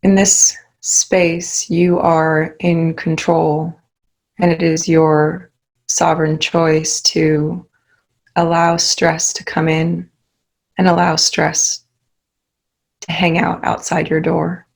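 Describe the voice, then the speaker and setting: Calm lady doing guided meditation, really even low tone, lots of pauses, soothing. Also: gravelly, low-quality recording.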